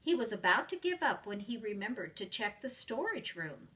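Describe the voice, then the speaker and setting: A woman reading a children's story aloud as a preschool teacher would, with expressive narration. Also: mid-range voice, moderate pace, far from the mic.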